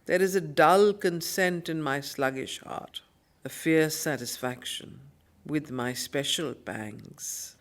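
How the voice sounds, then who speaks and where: Slow-paced woman teacher reading and explaining. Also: sits low. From India. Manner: measured, deliberate, emphatic phrasing. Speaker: study circle teacher and reader. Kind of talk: solemn poetry recitation and explanatory teaching.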